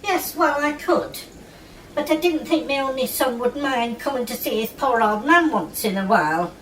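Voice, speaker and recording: A woman's voice: high-pitched, expressive, normal speed, a bit bright. A woman playing a lonely, nagging older mother with a Northern English accent in an audio drama, plaintive and homely. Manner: guilt-tripping, plaintive, homely. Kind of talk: character acting in audio drama. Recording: roomy, distant mic, faint noise.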